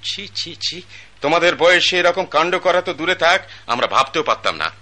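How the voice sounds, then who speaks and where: A man's voice: a little high, expressive, moderate pace, pushed and bright. An actor playing a stern, self-righteous wealthy father, older in age, in a radio drama. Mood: scolding. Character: wealthy stern patriarch, pompous older man.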